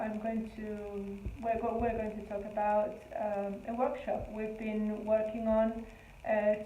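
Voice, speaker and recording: A woman's voice: on the high side, slight background noise. A woman from Argentina, a Spanish speaker presenting her language company with hesitant fillers. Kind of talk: scripted-feeling presentation. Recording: echoey room, tinny phone-like sound.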